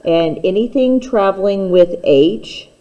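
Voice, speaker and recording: A woman's voice: mid-range voice, expressive, measured pace, warm tone, low-quality recording. A woman teacher giving a step-by-step instructional math lesson, speaking plainly and patiently straight to the learner.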